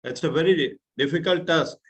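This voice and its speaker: A middle-aged man from India with an Indian accent, giving an earnest religious talk to a youth class and sometimes pausing to search for words. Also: on the high side, breathy edge. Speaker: guest speaker. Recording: muffled, slightly lo-fi audio.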